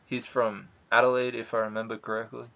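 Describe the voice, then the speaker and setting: A man's voice: mid-range voice, level tone, a little fast, creaky, sharp tone. A man in his late twenties from Sydney with an Australian accent, hosting a casual interview, swearing freely and laughing often. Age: 20s. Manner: swears a lot, laughs easily. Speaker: host, interviewer.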